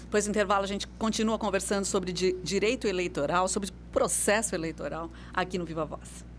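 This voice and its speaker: A woman's voice: medium pitch, fairly even intonation, a little fast, heavy background noise. A woman hosting a radio interview show in a studio, talking conversationally and often affirming with short "uhum" sounds.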